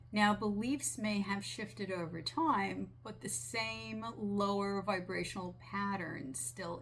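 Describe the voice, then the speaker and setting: Woman channeler, raspy from a sinus infection, lowish gravelly voice, unhurried earnest delivery. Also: slight background noise. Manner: slow, gentle, earnest with small restarts. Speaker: spiritual channeler. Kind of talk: relaxed monologue relaying a channeled message.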